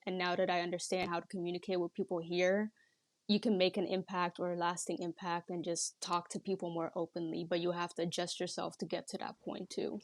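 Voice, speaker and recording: A woman's voice: on the low side, no room sound. A young woman from the Netherlands, chatting casually and reflectively as a podcast guest. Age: young adult. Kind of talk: casual reflective interview chat.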